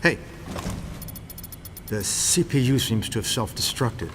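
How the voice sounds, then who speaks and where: An actor playing an earnest military tech specialist in a video game, reporting matter-of-factly. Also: male voice, medium pitch, animated, slow pace, background noise. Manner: matter-of-fact.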